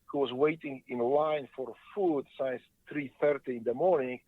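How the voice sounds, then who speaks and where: A man from Poland with a Polish accent, a guest telling his story in halting, earnest speech over the phone from a moving car. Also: mid-range voice, no echo. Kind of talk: phone interview. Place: car on the freeway. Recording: tinny phone line, dry.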